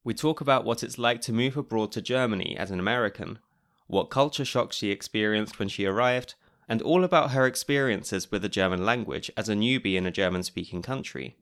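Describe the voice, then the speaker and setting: A man's voice: fairly low pitch, a little fast, fairly bright tone. A man with a British accent, hosting a podcast and narrating its scripted segments in a wry, chatty way. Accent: British English. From Britain. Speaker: podcast host.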